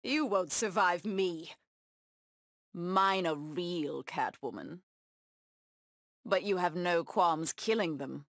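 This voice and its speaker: A woman's voice: on the low side, takes it slowly, pauses often, dry recording, studio quality. An actress voicing a proud, bloodthirsty cat-woman huntress villain in a video game, with menacing, taunting delivery. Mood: low, contemptuous.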